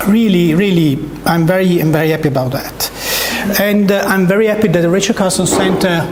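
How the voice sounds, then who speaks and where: A man's voice: sits high, a little hiss or hum. A man from Italy with an Italian accent, giving a research talk in a seminar, with frequent fillers and restarts. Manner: hesitant, many fillers and restarts, repeated words. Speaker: academic speaker. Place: seminar room. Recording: echoey lecture room.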